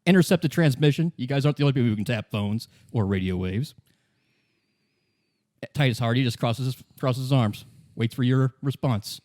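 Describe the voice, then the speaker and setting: Casting: male game master, average pitch, conversational tabletop narration, dry close recording. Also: moderate pace, darkish tone, no room sound.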